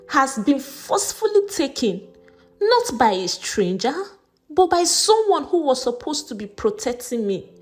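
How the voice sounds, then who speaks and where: A woman voicing a frightened, grieving orphaned teenage girl in a dramatized folktale, pleading and sorrowful. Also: sits high.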